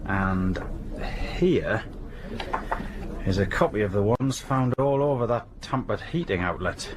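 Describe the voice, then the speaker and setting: An actor playing a polite, wry, sceptical police detective in naturalistic radio drama dialogue, casual and dryly humorous. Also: male voice, lowish voice, expressive, unhurried, background noise. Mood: businesslike.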